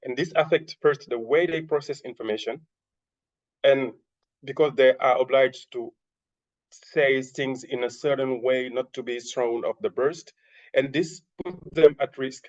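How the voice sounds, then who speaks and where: Senegalese man, halting French-accented English. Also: medium pitch, fairly even intonation, darkish tone. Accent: French-speaking Senegalese. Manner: halting, many fillers and self-corrections. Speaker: webinar panelist. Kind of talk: hesitant presentation. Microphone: video call. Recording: dull lo-fi video call audio.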